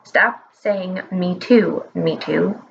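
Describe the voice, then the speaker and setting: A woman's voice: on the low side, very slow, vocal fry, highs cut off, a little hiss or hum, pitched below the performer's own voice, heavily acted. A woman voicing a grumpy, pudgy old male wizard who grumbles and whines. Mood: grumbling. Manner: grumbling, whiny.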